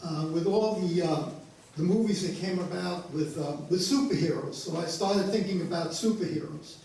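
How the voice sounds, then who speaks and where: A male playwright informally explaining his work at a talkback, halting and repeating phrases. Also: sits high, gravelly, a little mellow. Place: indoor stage at an arts venue. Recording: echoey room, distant mic, faint noise.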